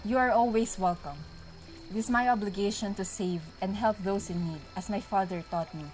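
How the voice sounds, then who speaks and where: A woman's voice: medium pitch, normal speed, darkish tone, lightly acted, poor audio. A woman playing a devoted, gallant young male lover in an amateur radio drama, speaking in an earnest, declamatory way over music. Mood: courteous.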